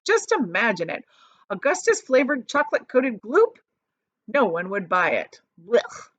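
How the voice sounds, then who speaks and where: A woman's voice: a little high, very animated, a little slow, no room sound, pitched above the performer's own voice. A woman reading a storybook and voicing an eccentric male chocolate maker, airily dismissive, theatrical and flippantly soothing.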